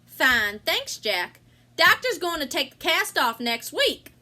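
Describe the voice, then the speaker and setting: A woman's voice: high voice, big pitch swings, slow pace, bright, forceful tone, exaggerated voice. A woman with an American accent playing a chatty, folksy rural farm wife in an amateur play. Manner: folksy, exclamatory country talk. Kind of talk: amateur stage-play acting.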